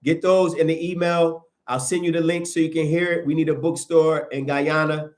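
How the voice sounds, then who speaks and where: A man hosting a livestream, preaching in a casual, animated way and answering viewer comments as he goes, with a sniffly nose. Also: on the high side, normal speed. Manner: animated, preachy, rises sharply when emphatic. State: sniffling from sinuses. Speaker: livestream host.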